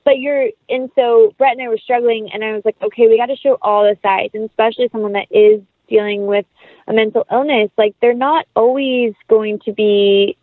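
A woman's voice: a little high, steady tone, brisk, no room sound, narrow, tinny audio. A woman chatting casually and informally as an actress being interviewed, rambling as she explains. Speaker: interview guest, film actress.

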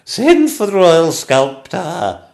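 Haughty, imperious storybook Queen, played by a man: raised pitch, swooping, deliberate delivery. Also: huge pitch swings, gravelly, a little mellow, exaggerated voice. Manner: slow, emphatic, haughty pronouncements. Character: imperious, cross, haughty Queen in a comic fairy tale.

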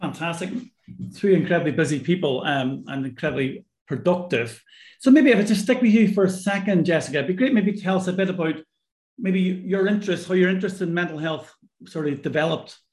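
Hoarse man hosting a podcast. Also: fairly high pitch, a little fast, echoey room. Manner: warm, repeats words, restarts. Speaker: podcast co-host, psychology professor. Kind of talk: podcast interview.

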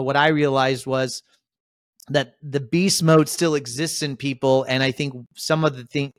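An upbeat man hosts a casual podcast, his voice smooth and resonant at an ordinary pitch. The sound is dry and close, with no room around it. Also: no echo. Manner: upbeat, rambling, lots of shout-outs. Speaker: podcast host.

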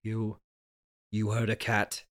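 A man playing a nervous, secretive young husband in a table read of an old radio horror play, halting, repetitive and defensive. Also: on the low side, completely flat delivery, a bit bright. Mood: nervous, evasive.

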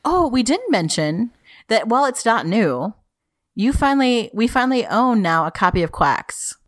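A woman's voice: fairly high pitch, big pitch swings, moderate pace, no room sound. A woman with a rich voice, co-hosting a podcast in casual, teasing chat. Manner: playful teasing. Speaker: podcast co-host.

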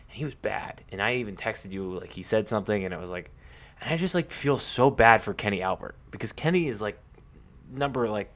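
A man co-hosting a casual sports podcast, talking in a halting way with repeated words and lots of "like". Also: lowish voice, a bit bright. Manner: halting, repeats himself, peppers sentences with "like".

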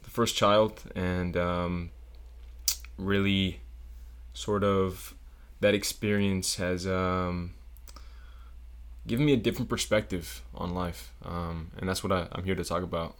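Very deep-voiced young man, hesitant. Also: fairly even intonation, slow pace. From South Florida. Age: young adult. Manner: thoughtful, hesitant, many ums. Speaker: podcast guest, engineering student. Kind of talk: casual podcast interview.

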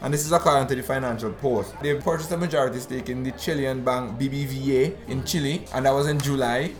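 A man's voice: medium pitch, steady tone, quick pace, a crowd in the background. A man from the Eastern Caribbean co-hosting a talk show, explaining finance news in a conversational way with frequent restarts. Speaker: podcast co-host. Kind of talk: conversational analysis.

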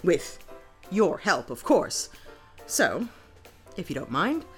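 Female police sergeant, lower-pitched, forthright, wry, persuasive, very lively intonation, audio drama. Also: slow pace, faint music behind. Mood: warm, persuasive.

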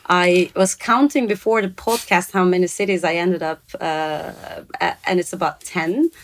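A woman's voice: fairly low pitch, fairly even intonation, normal speed. A woman from Turkey, a professor introducing herself on a podcast, friendly with many ums. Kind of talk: casual podcast chat.

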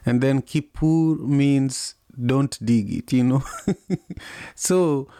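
A man with an East African accent, whose first language is Luo, hosts a thoughtful conversation. His voice sits at a medium pitch and is recorded dry, with no room sound. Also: slow pace, dark tone, clear, resonant tone, no echo. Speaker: host of an online audio discussion. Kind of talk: conversational, reflective talk.

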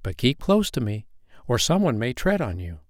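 A fussy, worn-out mother duck, as a man puts her on for a storybook, fretful and motherly. The voice climbs high and swings widely. It is close and dry, with no room sound. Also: sing-song, normal speed, no room sound, lightly acted. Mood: proud.

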